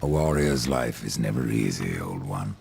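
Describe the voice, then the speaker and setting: A man's voice: rumbling low, animated, normal speed, vocal fry, a little mellow, slight background noise, full character voice. An actor playing a grim male horseman of death, dry, terse and cutting, with game music behind him. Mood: mocking.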